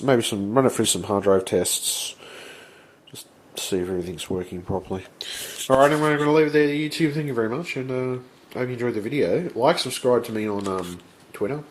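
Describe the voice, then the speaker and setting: Stuffed-up man rambling at his workbench. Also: fairly low pitch. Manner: rambling, halting, swears when frustrated. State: congested, blocked sinuses. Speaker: channel host. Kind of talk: casual vlog. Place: home workbench room.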